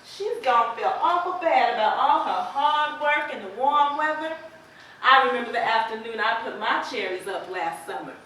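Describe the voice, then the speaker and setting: A woman playing a plain-spoken, sympathetic, quietly defiant farm wife in an amateur stage play, with deliberate folksy phrasing. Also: fairly high pitch, animated. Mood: wistful. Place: indoor theater stage, distant microphone. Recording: echoey stage from far off, faint noise.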